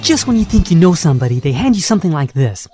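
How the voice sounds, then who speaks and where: An actor voicing an exasperated, soft-hearted animal character muttering sarcastically in an amateur radio drama monologue. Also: mid-range voice, big pitch swings, quick pace, breathy edge, faint music behind.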